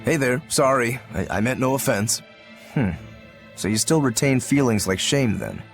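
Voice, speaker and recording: A man's voice: mid-range voice, normal speed, faint music behind, close to an everyday voice. An actor playing a laid-back, worldly male demon in a game, speaking with dry wit. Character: easygoing adult male demon, wry and worldly.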